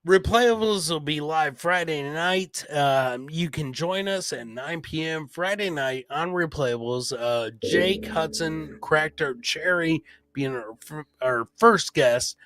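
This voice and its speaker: Bright, forceful male podcast host. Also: fairly high pitch, slow pace, hardly any pauses, no room sound. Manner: effusive, profane, calls guests brother. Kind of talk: casual livestream chat with plugs and shout-outs.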